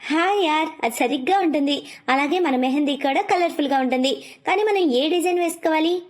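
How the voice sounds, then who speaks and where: A high, childlike little girl acted by a woman chatters away in a cartoon moral story. She is playful and teasing, and pleads like a small child who wants to keep playing. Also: a little fast, lightly acted. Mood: excited. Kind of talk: animated moral story dubbing.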